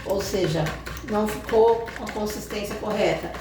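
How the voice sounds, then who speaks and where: A woman's voice: medium pitch, normal speed, background noise. Chatty Brazilian woman in echoey kitchen. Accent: Brazilian Portuguese. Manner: chatty, explains step by step, frequent "tá bom?". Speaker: cooking channel host. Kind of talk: home cooking tutorial. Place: home kitchen. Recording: echoey kitchen audio from far off.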